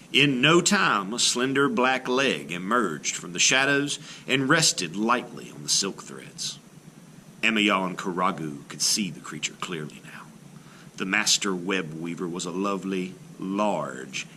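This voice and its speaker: A man reading a children's story aloud in an expressive, theatrical style, like a teacher to a class. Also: sits low, slight background noise. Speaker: art teacher reading a picture book aloud.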